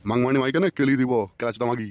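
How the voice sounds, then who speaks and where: A man's voice: mid-range voice, fairly even intonation, racing, darkish tone, dry recording, lightly acted. A man voicing an angry, suspicious, foul-mouthed old village husband in a comic cartoon, cursing and scolding. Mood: accusing, furious. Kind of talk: comic Bengali cartoon voice acting.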